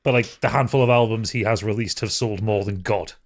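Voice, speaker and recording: A man's voice: on the low side, steady tone, fairly quick. A man co-hosting a podcast, explaining his points emphatically in casual, opinionated banter. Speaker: podcast co-host.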